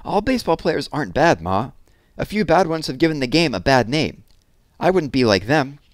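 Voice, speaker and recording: A man's voice: a little high, sing-song, moderate pace, no room sound, pitched above the performer's own voice. An earnest storybook boy, acted out by a male reader, speaks in a raised voice with serious, pleading urgency. He sounds eager and hopeful, and the recording is close and dry.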